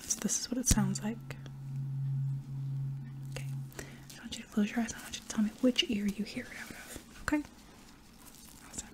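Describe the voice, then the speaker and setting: Young woman whispering softly. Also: a little high. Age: young adult. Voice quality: breathy, unvoiced whisper. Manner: soft, reassuring, instructing step by step. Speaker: ASMR roleplayer playing an anesthesiologist. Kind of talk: whispered ASMR medical exam roleplay.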